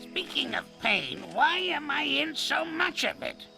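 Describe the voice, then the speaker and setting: An actor voicing a grumpy old male ape in a cartoon, with a creaky, gravelly old voice and a scolding, sarcastic way of talking. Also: extremely high pitch, very animated, unhurried, heavily acted. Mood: grouchy. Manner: scolding, sarcastic, barks insults. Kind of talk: cartoon voice acting. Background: music.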